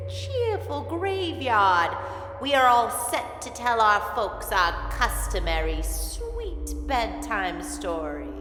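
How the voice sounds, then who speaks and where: A slow, cackling ancient witch. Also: lowish voice, background noise, exaggerated voice. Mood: gleeful. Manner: cackling, drawn-out sinister relish. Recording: echoey hall reverb, background music.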